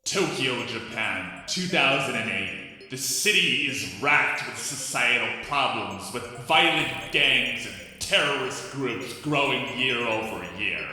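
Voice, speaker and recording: A man's voice: mid-range voice, animated, slow pace, pushed and bright, faint music behind. A man hosting a scripted video essay, delivered in a sarcastic, wry and conversational way.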